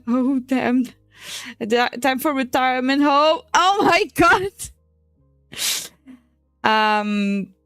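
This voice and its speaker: A woman's voice: fairly high pitch, a bit bright, clean tone, no room sound. A woman in her twenties, a native Portuguese speaker, chatting casually on a stream while she draws, swearing and laughing often. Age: 20s. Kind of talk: casual chat while drawing.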